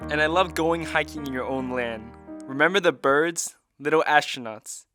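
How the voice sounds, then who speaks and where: A man's voice: fairly high pitch, moderate pace, a bit bright, close to an everyday voice. An actor playing a tender, nostalgic husband speaking poetically to his wife in an audio drama. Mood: tender nostalgia. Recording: guitar music under the voice. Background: plucked guitar music.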